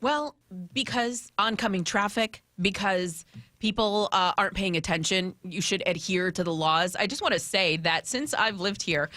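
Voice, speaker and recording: A woman hosting lively, unscripted radio chat and putting questions to colleagues at a close studio microphone. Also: medium pitch, normal speed, dry recording. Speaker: radio traffic reporter and quiz host.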